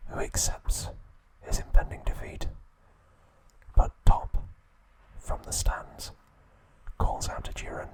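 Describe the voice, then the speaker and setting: Man, ASMR host, breathy unvoiced whisper, unhurried delivery. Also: rough recording. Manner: soft unvoiced whisper throughout.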